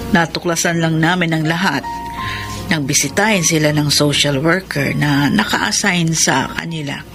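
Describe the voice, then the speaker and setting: Low-voiced, grave woman. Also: moderate pace, over music. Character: serious woman solemnly recounting a child's abandonment. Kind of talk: Filipino radio drama acting.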